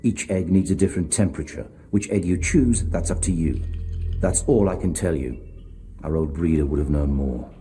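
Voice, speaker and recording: A man playing a cold, calculating overseer in a video game, instructing in a measured, quietly threatening way. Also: deep voice. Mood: brisk, instructing. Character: cold, calculating male overseer, menacing and commanding. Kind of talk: video game character acting.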